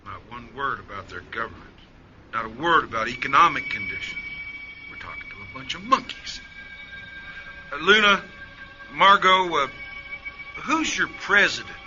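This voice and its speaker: A man's voice: mid-range voice, lively intonation, moderate pace, long pauses, natural voice. A man playing a formal, suspicious spaceship commander in a radio play, stiffly courteous and guarded. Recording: dull band-limited sound, music behind.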